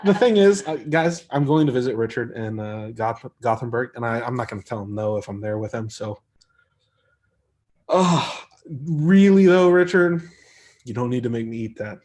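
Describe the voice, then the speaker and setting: A man hosting a casual live stream, reading viewer comments and answering them in an easygoing, rambling way with a soft voice. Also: mid-range voice, expressive, moderate pace. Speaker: arm wrestler hosting a live chat stream.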